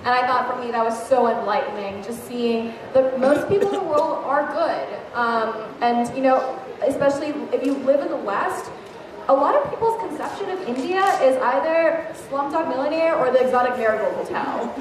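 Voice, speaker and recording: Young woman from thailand on a panel, a bit high, telling travel stories, echoey far-off hall sound. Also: moderate pace, background noise. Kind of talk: panel discussion. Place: large hall with a live audience. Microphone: handheld mic.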